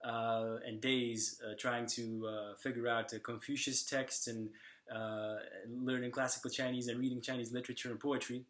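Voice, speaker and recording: A young man from Slovakia, talking casually to the camera in a vlog and often pausing on fillers and restarts. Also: medium pitch, level tone, a bit bright, roomy sound. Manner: hesitant, many fillers and restarts. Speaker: language vlogger.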